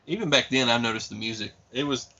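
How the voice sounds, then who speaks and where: A man in his late twenties, a podcast guest, calmly reminiscing about his early days as a gamer. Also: mid-range voice, quick pace. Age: 20s. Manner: reminiscing, earnest, steady. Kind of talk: casual chat. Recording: dull lo-fi podcast mic.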